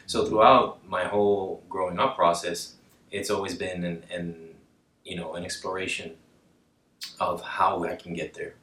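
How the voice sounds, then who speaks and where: A man's voice: low voice, fairly even intonation, unhurried, a bit bright, distant mic. A man from Colombia talking reflectively about himself as a podcast guest. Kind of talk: reflective personal interview talk. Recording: roomy echo, away from the mic.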